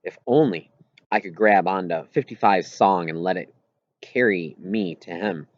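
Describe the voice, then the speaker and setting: A man reading a first-person story aloud as scripted audiobook narration. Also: fairly low pitch. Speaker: audiobook reader. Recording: roomy echo, slightly dull lo-fi sound.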